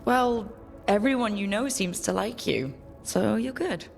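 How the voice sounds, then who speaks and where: An actress playing a wry, encouraging young leader of a band of heroes in a video game. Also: female voice, mid-range voice, a little slow, creaky, faint music behind. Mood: supportive. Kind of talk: video game cutscene acting.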